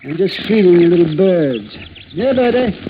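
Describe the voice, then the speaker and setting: Sour rich retired man, 50s, fussy n bossy, animated, old noisy radio drama recording. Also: high-pitched, normal speed, phone-quality sound. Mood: fussy, musing. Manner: peremptory, hemming, rambling. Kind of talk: 1950s radio drama acting.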